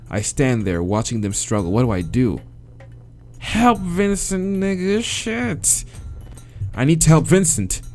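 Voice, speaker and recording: An American man reading a visual novel aloud as a let's-play host, dramatic in the narration and breaking into slangy, expressive asides. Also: mid-range voice. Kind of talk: dramatic read-aloud with casual reactions.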